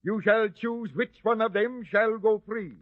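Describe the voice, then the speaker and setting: An actor playing a proud but uneasy Roman governor who speaks condescendingly, in an old-time radio drama. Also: male voice, high voice, steady tone, a little slow, no room sound. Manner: haughty and condescending, then hesitant. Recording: dry, dull lo-fi recording.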